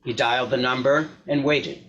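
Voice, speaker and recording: A man's voice: mid-range voice, moderate pace, a bit bright, lo-fi sound. A man narrating a scripted short story aloud as a reader in a readers theater. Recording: clear background noise, clicks.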